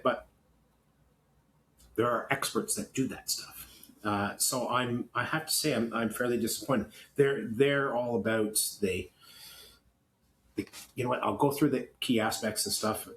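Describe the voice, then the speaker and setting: Low-voiced Canadian man, rambling podcast talk. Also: a little hiss or hum, poor audio. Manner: halting, rambling delivery with self-corrections. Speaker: podcast co-host. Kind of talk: opinionated casual podcast talk, partly reading notes.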